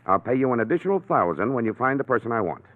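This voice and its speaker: A man's voice: mid-range voice, very animated, rapid-fire, natural voice. A man acting an anxious, secretive client in a golden-age radio drama, speaking formally with clipped urgency. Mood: businesslike. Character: anxious, secretive male client hiring a detective. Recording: tinny, narrow-band old radio recording.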